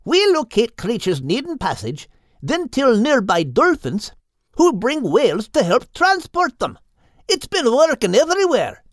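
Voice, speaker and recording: A woman voicing an earnest small male bird who explains things slowly and patiently. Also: fairly high pitch, sing-song, no echo, clean studio sound, pitched above the performer's own voice.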